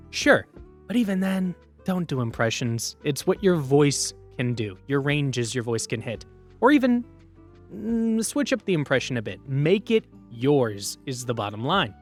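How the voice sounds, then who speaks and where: A man with a fairly high, lively voice leads an informal workshop. His delivery swings widely in pitch and is conversational and emphatic, with light music behind him. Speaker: voice acting workshop presenter. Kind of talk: informal instructional presentation.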